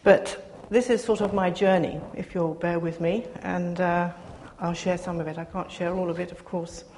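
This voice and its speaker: An older woman giving a soft-spoken, reflective personal sermon to her congregation, pausing often as she speaks. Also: fairly low pitch, fairly even intonation, slight background noise. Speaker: preacher.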